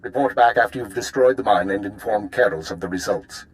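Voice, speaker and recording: A composed intelligence handler, played by a man with a fairly low voice. He is formal, exacting and measured, delivering precise mission briefings in a video game. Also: lightly acted, rough recording.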